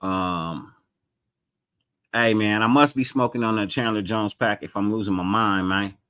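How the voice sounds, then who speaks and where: A man's voice: unhurried, smooth. A man hosting a casual live sports podcast, greeting chat viewers by name and talking loosely with a mild stutter and repeated words. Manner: gets higher and more animated when excited. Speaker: podcast host.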